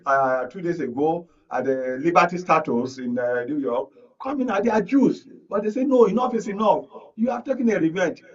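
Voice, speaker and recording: A Nigerian man giving radio panel commentary as a media analyst, earnest and emphatic, repeating phrases for weight. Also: sits high. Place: radio studio. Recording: muffled audio, distant from mic.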